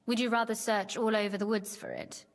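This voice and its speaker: A woman's voice: medium pitch, steady tone, fairly quick, natural voice. An actress playing a young, curious noblewoman in a video game, speaking in a genteel, articulate and teasing manner. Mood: helpful explaining. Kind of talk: video game dialogue acting. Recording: long reverb tail, faint game music behind.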